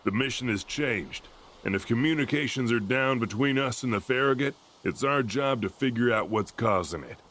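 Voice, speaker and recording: Actor as curt, stern military commander. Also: male voice, medium pitch, moderate pace, a bit bright, a little hiss or hum, natural voice. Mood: decisive. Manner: curt, authoritative. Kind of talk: audio drama acting.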